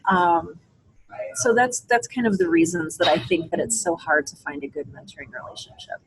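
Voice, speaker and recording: Expressive woman answering interview questions reflectively. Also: lowish voice, normal speed. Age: adult. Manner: reflective, explanatory, thinks aloud with quoted asides. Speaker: interview guest. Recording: faint background ambient noise.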